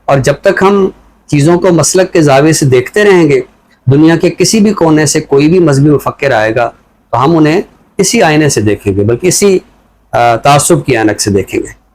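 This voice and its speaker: A man with a Pakistani accent giving reflective talk show commentary in a deliberate, explanatory way, often posing rhetorical questions. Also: a little high, lively intonation, a little mellow.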